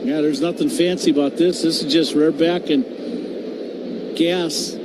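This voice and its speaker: A man's voice: on the high side, steady tone, unhurried. An American man giving conversational color commentary on a live baseball radio broadcast. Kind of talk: conversational live game analysis. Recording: noisy ballpark radio broadcast.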